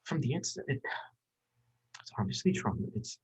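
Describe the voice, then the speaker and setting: A performer playing a flustered, defensive young psychologist in a dramatic script reading, halting and self-questioning. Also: mid-range voice, big pitch swings, darkish tone, slightly rough. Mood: muttering self-reproach. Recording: dull, muffled recording.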